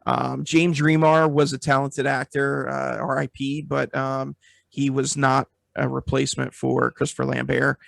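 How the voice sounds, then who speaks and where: A man's voice: mid-range voice, little pitch movement, a little slow, gravelly, fairly bright tone, no room sound, clean studio sound. A man hosting a casual film review chat, speaking in an opinionated, emphatic way. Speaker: podcast host.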